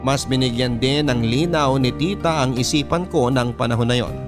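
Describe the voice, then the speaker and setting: Male storyteller reading a scripted letter. Medium-pitched voice, clear music bed underneath. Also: normal speed. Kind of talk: scripted first-person story narration.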